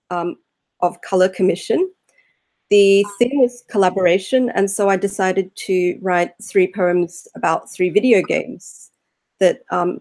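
A woman's voice: mid-range voice, a little mellow. A young woman poet on a video call, explaining her poems unhurriedly, with many fillers, from a room at home. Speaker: poet, panel guest. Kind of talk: casual video-call talk about her work. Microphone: laptop webcam on a video call.

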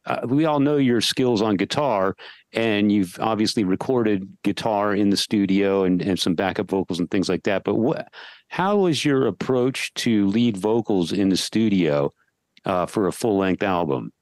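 A man's voice: lowish voice, moderate pace, darkish tone, dry recording. A man hosting a podcast who asks questions and often repeats his first words. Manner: repeats words when starting. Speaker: podcast host. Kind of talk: casual interview questions.

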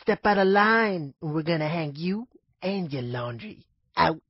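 A man's voice: fairly high pitch, animated, a little slow, slightly rough, no echo, lo-fi sound, heavily acted. A man acting a menacing film villain in a threatening manner.

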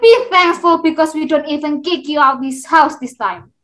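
A woman's voice: high-pitched, moderate pace, fairly bright tone. A woman playing a spoiled, haughty and demanding young stepsister in a readers theater. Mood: spiteful. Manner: whiny and demanding. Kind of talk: readers theater acting on video call. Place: indoors on a video call.

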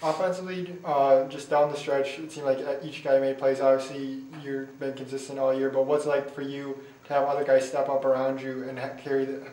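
Man asking flat postgame questions. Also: mid-range voice, brisk, few pauses. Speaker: interviewer. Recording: echoey room, distant mic, faint hiss.

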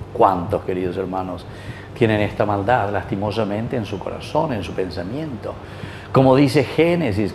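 Mellow Paraguayan priest in a roomy space. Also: male voice, fairly low pitch, vocal fry. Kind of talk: Gospel reading and homily. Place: small wood-panelled chapel, indoors. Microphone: lapel mic. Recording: roomy echo, faint background noise.

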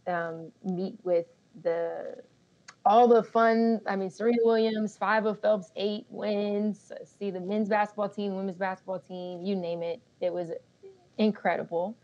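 A woman in her thirties from Colorado, a guest telling her story in a relaxed, self-deprecating way with easy laughs. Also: mid-range voice, unhurried. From Colorado, USA. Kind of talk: casual interview storytelling. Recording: slightly dull lo-fi audio.

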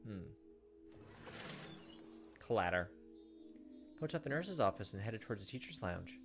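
Casting: male gaming host in his 30s, lowish voice, reading story text over faint music. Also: sing-song, a little slow. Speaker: gaming video host reading a visual novel aloud. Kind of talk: narrated let's play, dramatic reading with casual asides. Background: soft game music.

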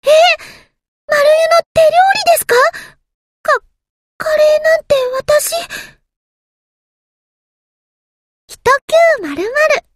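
A woman's voice: extremely high pitch, animated, moderate pace. A woman voicing a small, timid, earnest anime game submarine girl, with a thin childlike voice and a hesitant, polite manner. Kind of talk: anime game character voice lines.

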